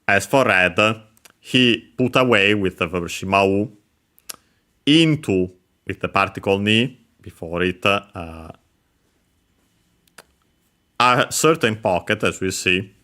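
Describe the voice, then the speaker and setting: A man explaining Japanese grammar, pausing often with filler sounds as he works through each word. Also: lowish voice, bright, forceful tone. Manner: hesitant, many filler sounds, careful explanation. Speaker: Japanese language teacher. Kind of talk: explaining grammar over gameplay.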